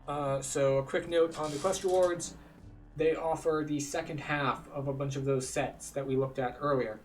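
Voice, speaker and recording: A man's voice: a little high, steady tone, normal speed, far from the mic, soft background music. A man giving casual, explanatory commentary as a guide through a video game playthrough. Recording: faint noise behind voice.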